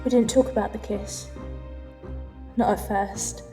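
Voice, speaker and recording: A woman with a British accent playing a lonely, introspective woman in an audio drama, speaking intimately with deliberate pauses. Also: mid-range voice, fairly even intonation, over music, natural voice. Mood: tender, wondering. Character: lonely, introspective woman telling her dark love story. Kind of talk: dramatic first-person audio drama narration and dialogue.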